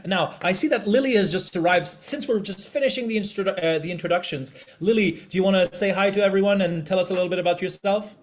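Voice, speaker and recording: Upbeat high-voiced male host. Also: fast talker, few pauses. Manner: upbeat, encouraging, chatty. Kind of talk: casual hosting of an online group call.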